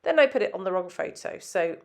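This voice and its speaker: A woman's voice: mid-range voice, lively intonation, a little fast, vocal fry, darkish tone. A woman designer narrating a scrapbooking tutorial in a chatty, step-by-step way, sounding nasal from a head cold. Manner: chatty, explains step by step with frequent ums.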